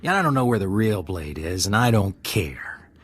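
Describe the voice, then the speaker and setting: Game role: sleazy smuggler con man. Rough-edged, very animated delivery, chummy and insinuating. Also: deep voice, moderate pace, heavily acted. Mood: menacing, sly. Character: sleazy, wheedling male space-smuggler swindler, falsely chummy. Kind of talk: video game voice acting.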